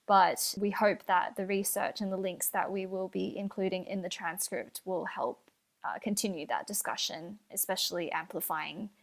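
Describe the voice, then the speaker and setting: A young woman co-hosts a casual podcast at a middle pitch. She talks in a careful, thoughtful way, softening her points with fillers and qualifiers. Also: moderate pace, creaky. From Australia. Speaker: podcast co-host.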